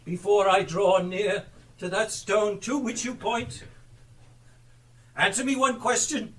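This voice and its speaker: An actor playing a frightened, repentant old miser on stage, with a halting, pleading delivery. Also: male voice, high voice, fairly even intonation, a bit bright. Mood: solemn dread. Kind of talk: theatrical live stage acting. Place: theatre stage. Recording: distant live stage recording.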